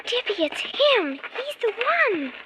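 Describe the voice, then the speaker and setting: A woman's voice: very high voice, sing-song, slow, heavily acted. An actress playing an earnest, determined young schoolgirl with an urgent, pleading manner in an old-time radio drama. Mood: alarmed, resolute. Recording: noisy vintage radio drama recording.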